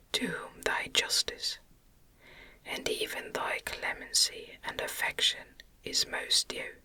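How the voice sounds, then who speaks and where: Narrator acting a wretched storybook creature, eloquent, archaic, pleading, all whispered, slow, sharp and breathy. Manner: archaic, formal, imploring speech. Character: wretched, eloquent, pleading man-made monster, huge. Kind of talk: whispered ASMR audiobook acting.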